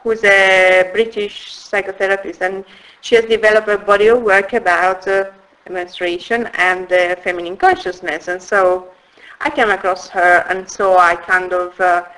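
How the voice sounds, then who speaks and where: A woman in her forties with an Italian accent, telling her life story in a halting way, with restarts and soft laughs. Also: mid-range voice, fairly even intonation, poor audio. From Italy. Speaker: interviewee. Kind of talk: personal life story in conversation.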